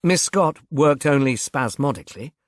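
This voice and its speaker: A man voicing a polite, urbane police inspector in a storybook, with a measured, dry manner. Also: medium pitch, animated, no echo, natural voice.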